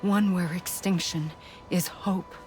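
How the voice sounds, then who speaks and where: An actress playing a sorrowful, resigned woman fated to doom the world, speaking in a hushed, breathy, solemn way in a dramatic game cutscene. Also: fairly low pitch, fairly even intonation, takes it slowly. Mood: quietly pleading. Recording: music under the voice.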